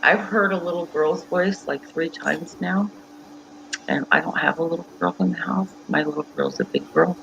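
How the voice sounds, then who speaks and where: A woman's voice: lowish voice, sing-song, normal speed, vocal fry, fairly bright tone, muffled recording, slight background noise. A middle-aged woman hosting a casual livestream chat over a video call, a chatty storyteller who laughs easily. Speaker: livestream host.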